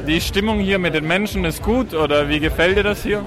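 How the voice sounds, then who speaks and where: A man's voice: high voice, fairly quick, crowd noise. A man working as a reporter, asking interview questions on location. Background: music and outdoor event sound.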